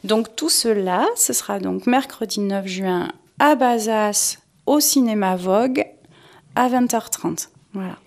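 A woman's voice: medium pitch, slow pace, a little mellow. A woman presenting an event off the cuff as an organiser being interviewed, hesitant, often repeating words as she searches for the next. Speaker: cultural festival organiser and interview guest. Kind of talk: spontaneous spoken event presentation.

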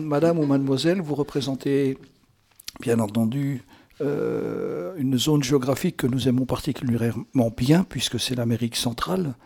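A man's voice: medium pitch, darkish tone, breathy edge. Playful, theatrical male radio host. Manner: playful, teasing, flowery compliments. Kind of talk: chatty, improvised radio interview.

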